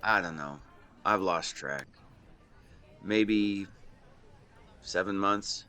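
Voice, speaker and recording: A man's voice: sits low, very slow, pushed and bright, smooth, heavily acted. A man in his twenties playing a devoted, protective and secretly lovesick best friend in a conversational audio roleplay, earnest and playful with some hesitation. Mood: wistful, resigned. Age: 20s. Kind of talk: intimate one-sided roleplay acting, conversational.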